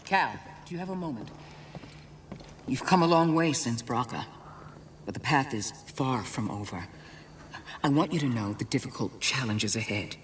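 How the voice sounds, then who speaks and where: A woman playing a grave, guilt-ridden middle-aged former Jedi mentor in a game cutscene, speaking in a measured, solemn, deliberate way. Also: rumbling low, expressive, a little hiss or hum. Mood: concerned. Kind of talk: dramatic video game cutscene acting. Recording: music behind dialogue.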